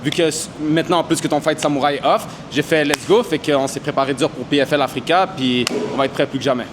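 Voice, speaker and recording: Confident fighter, slang-heavy. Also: male voice, on the high side, fairly even intonation, fast talker, background noise. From Canada. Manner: confident, mixes English slang into French. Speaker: MMA fighter. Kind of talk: casual interview answers. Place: boxing gym.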